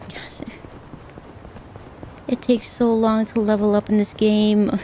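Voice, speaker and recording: A young woman streaming a game, chatting softly and casually with viewers, often repeating words as she goes. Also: medium pitch, flat delivery, slight background noise. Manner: soft-spoken, halting, repeats words. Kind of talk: casual gaming stream chat.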